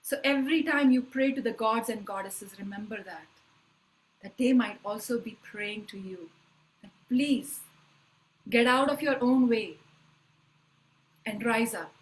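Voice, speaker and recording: A woman leading a live online spiritual workshop, talking in an earnest, emphatic way with slow, deliberate phrasing that often trails off softly. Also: fairly high pitch, poor audio.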